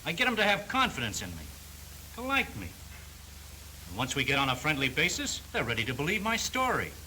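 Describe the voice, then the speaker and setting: A confident, folksy salesman from a 1930s training film. His voice is fairly high for a man and swings in pitch as he spins slangy advice. The soundtrack has a faint vintage hiss. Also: wildly swooping pitch, brisk. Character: folksy, self-confident older refrigerator salesman, kidded by colleagues.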